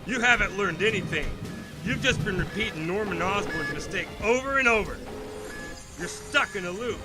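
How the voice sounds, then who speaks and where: Man as earnest, wisecracking young hero in audio drama; high-pitched, emphatic, loud score underneath. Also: lively intonation, normal speed, low-quality recording. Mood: angry, resolute. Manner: emphatic, quippy, defiant. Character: young male superhero, wisecracking, defiant and earnest. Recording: loud music bed behind voice.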